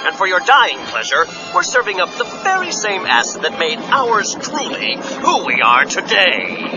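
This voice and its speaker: A manic villain, high and wildly swooping, gloats and sneers like a theatrical gangster. The audio drama comes off muffled cassette, with music behind the taunts. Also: male voice, huge pitch swings, normal speed, heavily acted. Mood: gloating menace. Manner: theatrical, taunting, mock-polite. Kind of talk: radio-drama villain acting.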